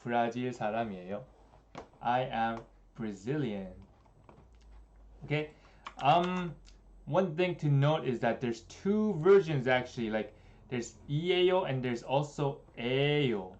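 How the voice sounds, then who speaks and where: A man's voice: medium pitch, lo-fi sound. Young man teaching Korean on stream. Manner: relaxed, chatty, many fillers, slows down for examples. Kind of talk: casual livestream lesson. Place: small room indoors.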